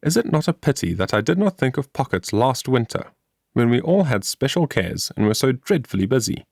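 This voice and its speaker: Man reading as a chatty, animated young woman. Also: mid-range voice, fairly quick, no echo, studio quality. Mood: earnest, musing. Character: cheerful, industrious young woman explaining her homemade invention.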